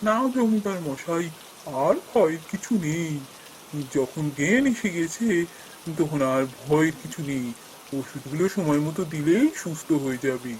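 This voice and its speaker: Guy as village healer in a folk tale cartoon, calm n reassuring, faint noise behind. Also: on the high side, unhurried, lightly acted, low-quality recording. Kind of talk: Bengali fairy-tale cartoon dialogue.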